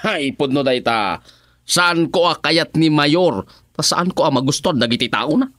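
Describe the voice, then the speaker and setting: A man's voice: a little high, animated, unhurried, a bit bright, no echo, clean studio sound. A man with an Ilocano Filipino accent playing a loyal, money-minded village councilor in a radio comedy-drama. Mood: defensive.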